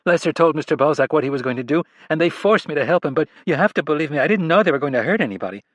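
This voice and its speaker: Man doing a scared young woman, pleading, rushed, tearful, higher voice, dry sound. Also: lively intonation, no room sound. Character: frightened young female illusionist confessing.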